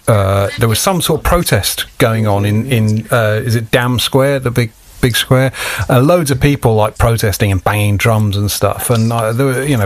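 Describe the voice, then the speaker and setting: Rambling British man, sweary podcast chat. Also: lowish voice. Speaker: podcast host.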